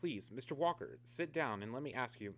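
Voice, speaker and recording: An actor playing a methodical, guarded security major in a radio drama, brisk, official and evasive. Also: male voice, medium pitch, animated, a bit bright. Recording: clear background noise with hum.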